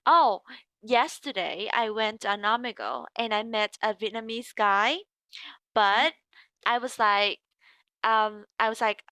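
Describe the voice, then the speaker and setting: A woman's voice: on the high side, measured pace, bright, forceful tone, no echo. A woman in her twenties chatting casually as an English tutor, telling stories full of "like" and acting out what people said. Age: 20s. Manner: chatty storyteller, many "like"s, acts out quotes. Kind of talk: casual conversation practice.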